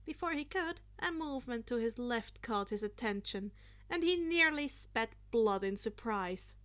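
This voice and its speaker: A woman narrating fan fiction in an expressive storytelling style. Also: on the high side, unhurried.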